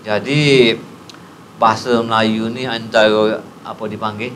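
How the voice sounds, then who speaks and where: A man's voice: on the low side, flat delivery, measured pace, fairly bright tone, slight background noise. A retired man in his sixties with a Patani Malay accent, earnestly explaining a point in a studio interview. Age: 60s. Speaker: guest. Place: TV studio set, on a couch. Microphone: lapel mic.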